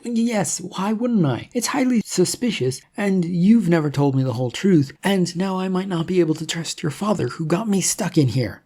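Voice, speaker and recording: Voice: medium pitch, lively intonation, normal speed, hardly any pauses, warm tone, slightly rough, pitched above the performer's own voice. A narrator voicing an exasperated, suspicious young male hero in an adventure audiobook, with an indignant, sarcastic, rambling manner. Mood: suspicious, agitated.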